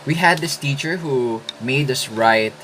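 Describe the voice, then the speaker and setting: A man in his twenties from the Philippines, whose first language is Filipino, talking through writing tips in a casual vlog with thoughtful pauses and restarts. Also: medium pitch, fairly bright tone, a little hiss or hum. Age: 20s. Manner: slow, thoughtful, with hesitant restarts. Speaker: writing vlogger.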